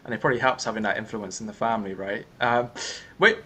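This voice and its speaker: A man's voice: lowish voice, steady tone, fast, sharp tone, slightly rough. A young man hosting a podcast interview, chatty and loose in how he phrases his questions. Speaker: podcast host. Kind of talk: casual interview chat.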